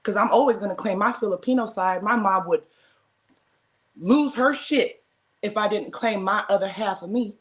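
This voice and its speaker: A woman's voice: medium pitch, normal speed. An American woman speaking as a guest in a casual debate, firm and emphatic, driving her points home by repeating them. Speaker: guest caller. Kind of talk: casual heated discussion.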